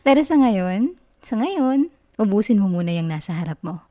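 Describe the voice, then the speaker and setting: A woman with a Filipino accent playing a sweet, bashful, doting girlfriend in an affectionate ASMR roleplay, talking softly and coyly in a giggly, tender way. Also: medium pitch, big pitch swings, measured pace. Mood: happy, caring. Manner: soft, giggly, coy, tender.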